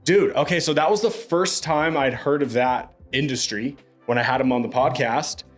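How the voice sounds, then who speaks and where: A man's voice: medium pitch, normal speed. A young man hosts a podcast in a laid-back, chatty manner, with an upbeat delivery and a mid-range voice. Faint music plays behind him. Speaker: podcast host. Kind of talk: casual podcast hosting.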